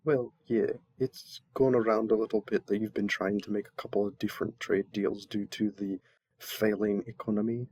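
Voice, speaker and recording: A man's voice: fairly low pitch, flat delivery, normal speed, darkish tone, breathy edge, dry recording. A man playing a flustered, polite envoy mistaken for a servant, speaking hesitantly with stammers and deference in a roleplay audio drama. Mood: polite, reserved.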